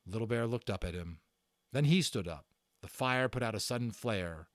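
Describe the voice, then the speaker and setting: A man's voice: fairly low pitch, normal speed, no room sound, clean studio sound. A man narrating a storybook aloud as a dramatic reader. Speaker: audiobook narrator.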